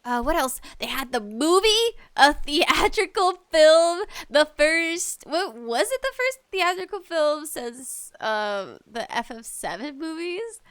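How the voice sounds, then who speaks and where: Very high, bright-voiced woman podcaster. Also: slow, few pauses, no echo, studio quality. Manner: animated ranting with frequent giggles. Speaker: podcast host. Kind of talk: casual opinionated gaming commentary.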